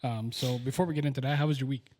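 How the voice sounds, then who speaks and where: A young man with a mid-range voice chats on a casual podcast. He keeps an easygoing, relaxed tone and fills pauses with "um" and "like.". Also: medium pitch. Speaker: podcast co-host. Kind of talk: casual chat.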